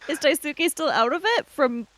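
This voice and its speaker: A woman's voice: sits high, expressive, moderate pace, a bit bright. A woman taking part in a tabletop roleplaying game, with casual table talk and improvised narration of her character.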